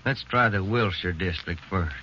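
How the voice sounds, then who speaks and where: An actor playing an easygoing police detective who talks in a plain, conversational way in a 1940s radio drama, on a noisy, dull old recording. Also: male voice, low voice, normal speed, lightly acted.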